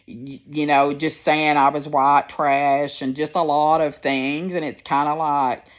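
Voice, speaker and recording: A woman in her sixties with a deep, firm voice, talking candidly and rambling a little as she speaks to her viewers into a phone. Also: flat delivery, measured pace. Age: 60s. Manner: candid, rambling, plain-spoken. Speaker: personal vlogger. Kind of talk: casual personal storytelling to viewers.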